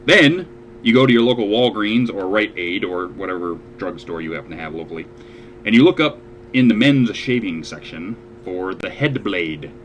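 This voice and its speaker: Low-voiced man, lively joking host. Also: normal speed, a little hiss or hum. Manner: dry, joking, explains step by step. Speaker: podcast host. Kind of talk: casual podcast chat.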